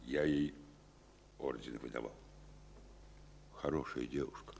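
An actor playing a gruff but fatherly Soviet general in a war radio drama, speaking haltingly and with emotion. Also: rumbling low, fairly even intonation, darkish tone, long reverb tail, a little hiss or hum, exaggerated voice. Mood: quiet, fatherly.

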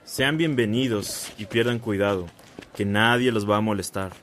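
A man's voice: fairly low pitch, moderate pace, a bit bright, a little hiss or hum, natural voice. A man playing an authoritative, affable small-town mayor in a Spanish-language radio drama, speaking with assurance. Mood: welcoming.

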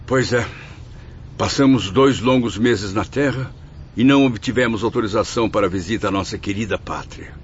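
An actor playing a humble, courteous, devout male spirit in a radio drama, with a Brazilian accent and an expressive, formal delivery. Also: lowish voice, normal speed, a little hiss or hum, lightly acted. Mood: earnest reflection. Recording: dull lo-fi audio, night insects behind.

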